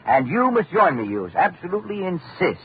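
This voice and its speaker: A man's voice: high voice, sing-song, a little fast. A man acting a bitter, vengeful dying scientist in an old-time radio drama, with a theatrical, gloating manner. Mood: gleeful bravado. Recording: tinny, hissy old radio recording.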